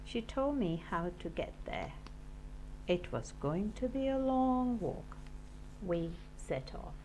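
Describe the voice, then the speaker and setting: A woman's voice: mid-range voice, sing-song, takes it slowly, a little mellow, slight background noise, rough recording. A woman reading a children's story aloud expressively, like a class teacher.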